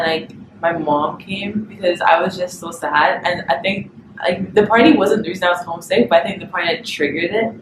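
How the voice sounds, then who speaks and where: A young woman from Barbados, chatting about home as an international student and filling her talk with "like". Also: on the low side. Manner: chatty, fills speech with "like". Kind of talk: documentary interview. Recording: echoey room with clear noise.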